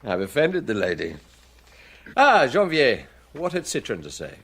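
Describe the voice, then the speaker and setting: An actor with a British accent playing a brisk, dry, world-weary chief inspector who questions with authority in a radio drama. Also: male voice, mid-range voice, wildly swooping pitch, noisy background.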